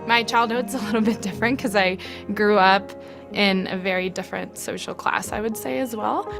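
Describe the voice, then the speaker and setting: Woman entrepreneur, Colombian-born, candid personal storytelling, a touch of fry, music bed under her. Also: mid-range voice, moderate pace. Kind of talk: documentary interview.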